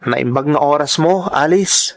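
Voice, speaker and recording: A man's voice: fairly high pitch, measured pace, vocal fry. A man playing an eager, bashful young farm lad in a radio-style drama, hesitant and protesting, with an Ilocano accent.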